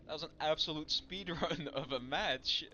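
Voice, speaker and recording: A man commentating a live esports match, analytical but breaking into excited outbursts. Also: a little high, normal speed, muffled recording. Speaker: esports caster. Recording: loud noise on a stream mic.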